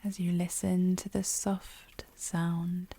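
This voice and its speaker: A woman leading a guided yoga nidra meditation, speaking softly and soothingly with a breathy voice that often drops near a whisper. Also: on the low side, level tone, slight background noise. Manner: soothing, gentle, unhurried pauses.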